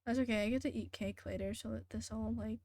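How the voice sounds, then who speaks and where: A woman's voice: a little high, fairly even intonation, fast talker, vocal fry, mellow, no room sound. A young woman streaming a game, chatting casually in a blunt, sweary, complaining way. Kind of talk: casual livestream chat and game commentary. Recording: music behind the voice. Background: game music.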